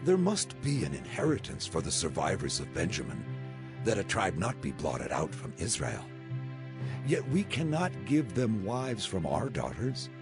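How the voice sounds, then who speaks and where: A man's voice: low-pitched, big pitch swings, normal speed. A man playing a grave, weighty elder of ancient Israel in a dramatized scripture production. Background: prominent music.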